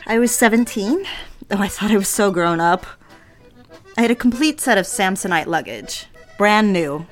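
A woman's voice: medium pitch, expressive, normal speed, close to an everyday voice. Actress as a coy, lovestruck young woman over music. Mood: smitten. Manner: coy, teasing, girlish sophistication. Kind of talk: audio drama romantic phone dialogue.

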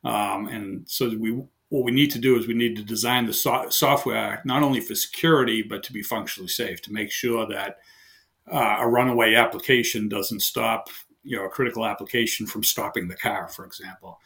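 A man with a heavy Boston accent, a guest engineer explaining his work in a casual interview, halting with many fillers and restarts. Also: on the low side, fairly bright tone. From Boston area, USA.

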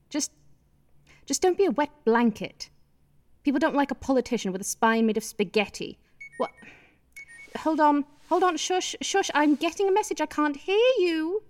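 An actress in a radio play as an ambitious, scolding, scheming politician's wife. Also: female voice, a little high. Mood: flustered.

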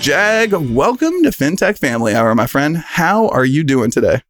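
A man's voice: fairly high pitch, sing-song, moderate pace, a bit bright, dry recording, soft background music. Male podcast host, casual interviewer, meandering questions, dry close sound. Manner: rambling, loosely phrased questions.

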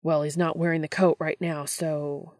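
A woman's voice: deep voice, level tone, normal speed, darkish tone, no room sound, clean studio sound, natural voice. A woman voicing a blunt, protective, easily flustered male hunter in an audio story, with an urgent manner. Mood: defensive.

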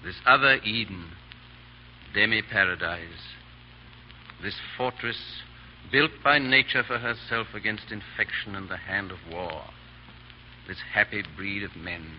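An actor playing a gallant, suave English aristocrat in 1930s radio drama, with mockingly courteous manners. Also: male voice, fairly low pitch, a little slow, natural voice. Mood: composed, wistful. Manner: urbane, mockingly courteous, drawling politeness. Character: gallant, suave, foppish English aristocrat hero, calm under threat. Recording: muffled, noisy 1930s radio broadcast.

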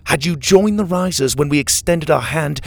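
A man voicing a ruthless male warlord in a storybook, declaiming loudly and imperiously. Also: fairly high pitch, normal speed, vocal fry, dry recording, voice pushed up. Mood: imperious, scornful. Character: ruthless, grandiose male rebel warlord commanding armies.

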